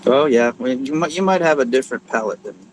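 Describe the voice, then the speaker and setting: A man's voice: mid-range voice, animated, quick pace, crowd noise, rough recording. A man co-hosting a livestream from another channel, giving easygoing, brief replies in casual chat.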